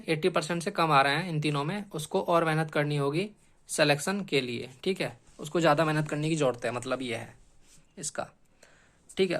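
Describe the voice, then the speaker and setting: A man's voice: a little high, bright, forceful tone. A man explaining exam strategy like a coaching teacher, repeating key points emphatically and often checking in with short tag questions.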